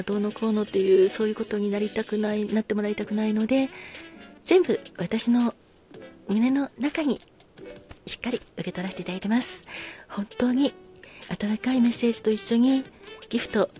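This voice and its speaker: A woman hosting a casual solo talk show, speaking politely with effusive gratitude and soft apologies. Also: mid-range voice. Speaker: podcast host.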